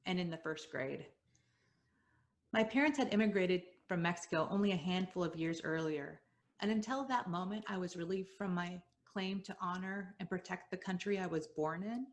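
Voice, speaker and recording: A woman in her forties, a native Spanish speaker, telling a personal story at a showcase in a measured, earnest and reflective way. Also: on the low side. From Southern Oregon, USA.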